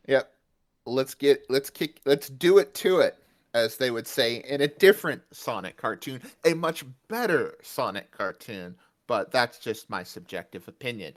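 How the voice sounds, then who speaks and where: A man's voice: mid-range voice, expressive, a little slow. Chatty male podcast host. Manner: chatty, jokey episode summarizing. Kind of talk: casual podcast recap.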